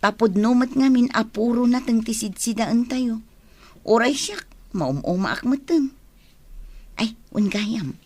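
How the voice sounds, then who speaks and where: Gossipy, nagging village wife for radio drama; high-pitched, Ilocano accent, exclamatory. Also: female voice, lively intonation, unhurried, darkish tone.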